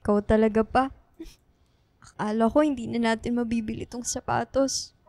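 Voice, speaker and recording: An actress playing an affectionate, dutiful college-age daughter in a radio drama. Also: female voice, a little high, steady tone, moderate pace, a little mellow, no echo, natural voice. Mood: tender. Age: young adult. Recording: music behind the voice.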